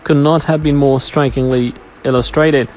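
A man's voice: mid-range voice, fairly even intonation, moderate pace, a little mellow. A man reading a scripted royal news narration, stumbling now and then as he reads. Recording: music behind the voice. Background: background music.

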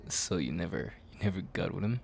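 A man playing a jealous, tender young best friend confessing love in an intimate ASMR roleplay, halting and murmuring with breathy sighs. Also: low-pitched, expressive, a little mellow, slight background noise, heavily acted. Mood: tender, possessive.

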